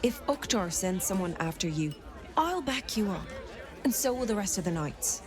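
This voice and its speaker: A woman's voice: mid-range voice, lively intonation, normal speed, lightly acted. An actress playing a firm, loyal lady knight in a video game, earnest and admonishing. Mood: reproving. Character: firm, loyal female knight, reproving elder sibling. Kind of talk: video game character acting. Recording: busy crowd behind.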